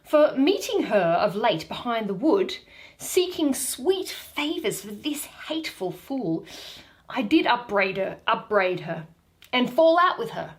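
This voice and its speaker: A woman playing a haughty male fairy king who is softening into pity, in Shakespearean verse. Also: on the high side, sing-song, measured pace. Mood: pitying, reproachful.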